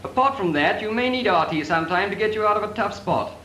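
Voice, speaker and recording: A man instructing trainees in radio procedure, brisk and corrective, in 1940s training film dialogue. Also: sits high, expressive, pushed and bright. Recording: echoey 1940s film soundtrack with hiss.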